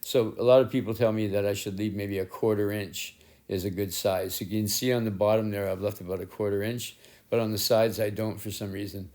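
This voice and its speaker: A middle-aged man with a rough, raspy voice that sits somewhat low. He talks casually and haltingly, restarting words and poking fun at himself. It sounds like a painter narrating his own studio work through a head-mounted camera mic. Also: fairly even intonation, a little mellow. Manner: rambling, self-deprecating, halting with restarts. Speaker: collage artist and painter. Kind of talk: casual narration while working. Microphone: head-mounted action camera.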